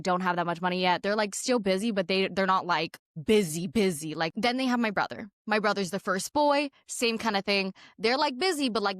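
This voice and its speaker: A young woman from Canada tells a personal story on her podcast. She speaks candidly, with many "like" fillers, at a middle pitch. The recording is dry and close. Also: a bit bright, no echo. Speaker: podcast host.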